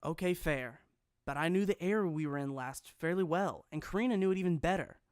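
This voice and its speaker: High-voiced earnest survivor, played by a man. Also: a little fast, clear, resonant tone, dry recording, studio quality, natural voice. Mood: insistent. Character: scrawny man in a survivor group, earnest and persuasive. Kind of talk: audio drama dialogue.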